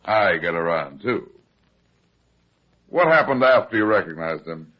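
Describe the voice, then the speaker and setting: An actor playing a brusque, shrewd overweight private detective in an old-time radio drama, with a dry, curt manner. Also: male voice, low voice, expressive, a little slow. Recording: dull lo-fi old radio recording.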